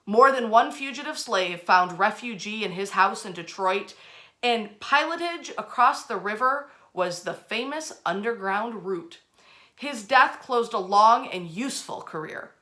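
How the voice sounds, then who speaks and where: A woman's voice: mid-range voice, animated, unhurried, sharp tone. A woman hosting a history storytelling session, speaking conversationally and repeating phrases for emphasis. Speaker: host, storyteller.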